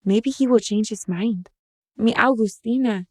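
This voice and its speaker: A woman voicing a tender, devout, sorrowful young mother in an audio short story, gently mixing Spanish phrases into English. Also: on the high side, measured pace, no echo, studio quality. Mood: anxious, reflective.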